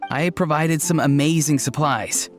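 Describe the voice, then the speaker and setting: A man voicing a guileless young tribesman in a fantasy game. He speaks plainly and earnestly in a slightly high male voice, with soft music behind him. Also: unhurried, natural voice. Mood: earnest, pleased. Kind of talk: video game voice acting.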